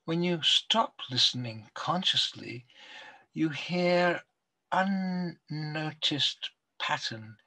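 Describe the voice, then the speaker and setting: An older man, a poet, reciting his own verse deliberately, with long pauses between phrases. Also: fairly high pitch, expressive, sharp tone. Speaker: poet reading own poems. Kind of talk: poetry recital.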